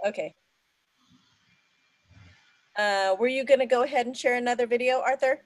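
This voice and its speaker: A woman's voice: on the high side, moderate pace, a little mellow, dry recording. Woman, event host, casual moderating, video call mic, dry close sound. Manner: chatty, with frequent mm-hmms and little laughs.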